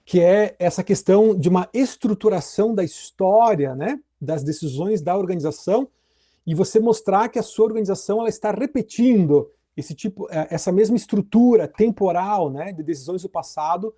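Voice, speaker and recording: A middle-aged man lecturing on legal theory. His voice sits high for a man but has a soft, mellow warmth. He talks in a relaxed, chatty way and keeps turning to his students with little tag questions. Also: normal speed. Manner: conversational, addresses students directly with frequent tag questions. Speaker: law professor.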